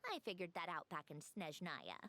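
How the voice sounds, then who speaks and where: An actress playing a self-assured, guarded young woman who speaks in a calm, measured, wry way. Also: fairly low pitch, full character voice. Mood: cool. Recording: game music behind.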